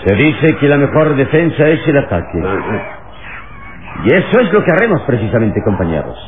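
An actor playing a calm, authoritative heroic leader in an old radio drama, speaking firmly and deliberately. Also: male voice, mid-range voice, lightly acted. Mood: calm, resolute. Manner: firm, commanding, deliberate. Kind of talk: vintage radio drama acting. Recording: tinny, noisy old radio recording.

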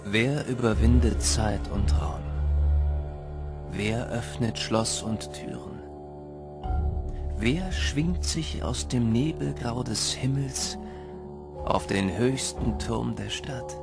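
A man narrating a dark winter legend as a solemn, dramatic storyteller in an audio play. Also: mid-range voice, animated, takes it slowly, vocal fry, pauses often, loud music behind the voice.

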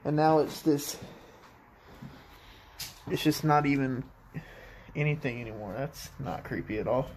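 A man filming himself as he walks through an abandoned house, musing slowly about the decay. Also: mid-range voice, darkish tone, slight background noise. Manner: slow, musing, easily startled. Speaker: urban explorer. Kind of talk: casual commentary while exploring. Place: abandoned house, indoors, empty rooms. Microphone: handheld phone camera.

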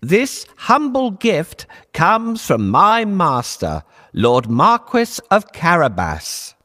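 A man's voice: fairly high pitch, huge pitch swings, slow, sharp tone, no echo, studio quality, exaggerated voice. A man voicing a clever, courtly cat in a children's storybook, with a polite, theatrical delivery. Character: clever, courtly male cat hero, helpful and scheming. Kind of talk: children's storybook character acting.

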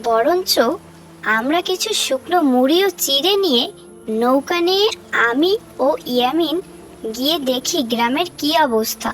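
A high, girlish big sister acted by a woman speaks with earnest encouragement. She is a caring village girl in a cartoon, with faint music behind her. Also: animated, normal speed, a bit bright, rough recording. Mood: earnest, reassuring. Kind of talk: cartoon story acting.

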